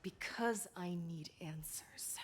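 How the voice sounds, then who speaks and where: A woman voicing a stern, resolute female general in a video game, measured and authoritative. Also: on the low side. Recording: game ambience noise behind voice.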